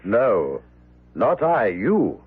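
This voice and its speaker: Slow, ominous manservant. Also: male voice, lowish voice, huge pitch swings, breathy edge, full character voice. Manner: slow, grave, oracular. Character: solemn, pious manservant, ominous and prophetic. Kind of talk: 1970s radio drama acting. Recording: muffled old radio broadcast with static noise.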